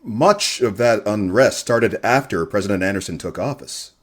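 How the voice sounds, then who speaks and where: Audio drama: male actor as deferential news host, stammering, slightly low voice, rough-edged. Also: normal speed, natural voice. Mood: nervous, probing. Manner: hesitant, apologetic, stammering. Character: nervous, deferential male radio host and journalist. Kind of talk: full-cast audio drama acting.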